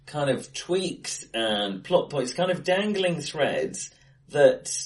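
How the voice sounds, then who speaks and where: A man co-hosting a casual film discussion, recorded face to face in an acoustically bright room. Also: on the high side, sing-song, takes it slowly. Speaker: podcast co-host.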